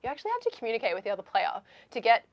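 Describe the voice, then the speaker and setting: A woman's voice: on the high side, huge pitch swings, racing. A woman co-hosting a game review show, chatting casually and emphatically with gushing enthusiasm.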